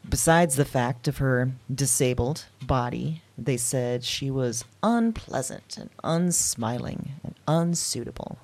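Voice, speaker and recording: A woman playing a weary, wry modern woman in an intimate audio drama monologue, speaking in a reflective, halting, confiding way. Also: a bass voice, lively intonation, a little mellow, exaggerated voice. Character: weary, wry, candid modern woman recounting her dreams.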